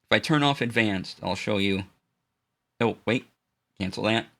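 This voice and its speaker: A man with a low voice explaining audio gear in a relaxed, halting live-stream demo, full of fillers and restarts. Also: gravelly. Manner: greets chat viewers warmly. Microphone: studio dynamic mic.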